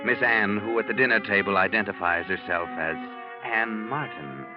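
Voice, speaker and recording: An actor playing a sinister, all-knowing narrator, sardonic and insinuating, in a 1940s radio mystery. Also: male voice, lowish voice, normal speed, lightly acted. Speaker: host and narrator. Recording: muffled 1940s radio recording. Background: music.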